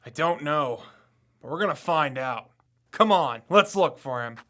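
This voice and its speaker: An actor playing a brash, suspicious young amateur detective in an audio drama, with pointed, accusatory questioning. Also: male voice, on the high side, animated, unhurried, bright, forceful tone. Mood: suspicious, determined. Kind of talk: scripted audio drama acting.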